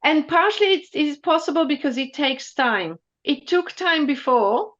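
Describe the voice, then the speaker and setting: A woman's voice: high voice, animated, moderate pace, fairly bright tone, lo-fi sound. A woman economist thoughtfully answering questions in an online presentation.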